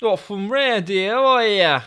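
A man voicing a cheeky, streetwise thirteen-year-old paperboy in a high-pitched voice. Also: expressive, slow, bright, forceful tone, clean tone, exaggerated voice.

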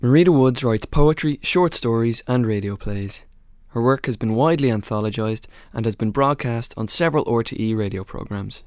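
Irish male presenter and interviewer for arts radio, medium pitch, dry acoustic. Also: normal speed, few pauses, darkish tone, dry recording. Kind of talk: scripted radio introduction and conversational interviewing.

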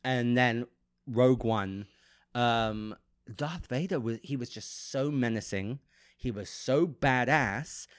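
A man co-hosting a podcast in casual, enthusiastic chat, his voice nasal and rough from a flu. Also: mid-range voice, slow pace, dry recording, studio quality. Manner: enthusiastic, animated fan talk. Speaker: podcast co-host.

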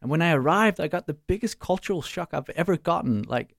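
Young man with a gravelly voice. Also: a little high, expressive, brisk, dry recording, clean studio sound. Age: young adult. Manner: casual, searching for words with fillers. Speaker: startup co-founder and student. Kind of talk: interview answers.

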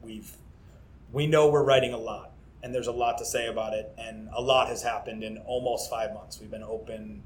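A man's voice: lowish voice, steady tone, moderate pace, slight background noise. Rambling enthusiastic man. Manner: rambling, enthusiastic, many restarts. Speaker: taproom co-owner. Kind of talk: casual interview chat.

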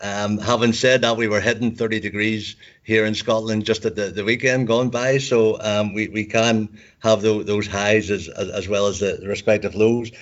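Halting low-voiced man, dull recording. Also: steady tone. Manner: hesitant, many repeated words and restarts. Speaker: university recruitment staff. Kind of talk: casual panel discussion. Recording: dull lo-fi sound.

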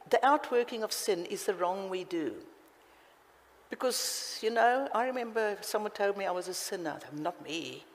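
A woman's voice: a little high, vocal fry. South African woman preaching in a hall. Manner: passionate, emphatic, with sudden loud lifts. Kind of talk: sermon. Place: church hall. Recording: reverberant hall.

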